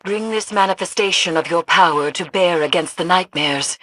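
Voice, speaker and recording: An actress playing a grave, stern mystic mentor in a video game, speaking solemnly and formally with a husky voice. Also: female voice, on the low side, normal speed, a bit bright, no echo, low-quality recording. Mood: ominous. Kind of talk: dramatic game voice acting.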